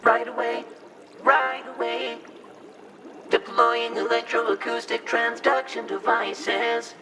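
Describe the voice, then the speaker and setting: An actor voicing an obsequious ship's computer in an audio drama, with flowery, deferential and measured phrasing. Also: medium pitch, low-quality recording. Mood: obedient.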